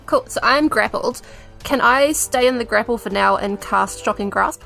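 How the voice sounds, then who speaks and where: A woman's voice: on the high side, moderate pace, gravelly, fairly bright tone. A woman playing a tabletop role-playing game, talking through rules and moves in a casual chat. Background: faint background music.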